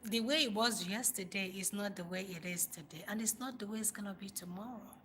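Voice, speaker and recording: A woman's voice: mid-range voice, a little fast, fairly bright tone, a little hiss or hum. A woman with a Nigerian accent hosting an informal motivational livestream, speaking emphatically and repeating phrases for stress. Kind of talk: informal motivational talk to live viewers.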